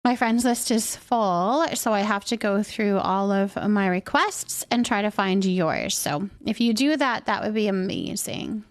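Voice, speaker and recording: A woman's voice: medium pitch, lively intonation, a little slow, few pauses. A woman hosting a game livestream, chatting casually with viewers in a giggly, talkative manner. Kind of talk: casual chat with viewers while playing a cooking game.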